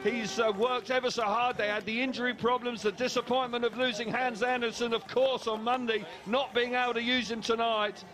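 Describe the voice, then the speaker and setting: High-voiced male race analyst. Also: flat delivery, a bit bright. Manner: measured, analytical race summary. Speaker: co-commentator. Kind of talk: sports commentary.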